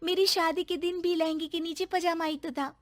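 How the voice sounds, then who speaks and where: A woman voicing a cheerful, tearful young wife in a cartoon story, high and emotional with giggles. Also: steady tone, normal speed. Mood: pleading.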